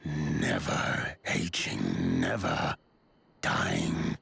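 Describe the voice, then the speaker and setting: Game giant elder, gravelly, husky, rumbling, lively rise and fall, formal old-fashioned speech. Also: male voice, no room sound, exaggerated voice. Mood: solemn. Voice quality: low gravelly voice. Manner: slow, formal, archaic speech. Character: towering ancient giant elder, solemn and grave.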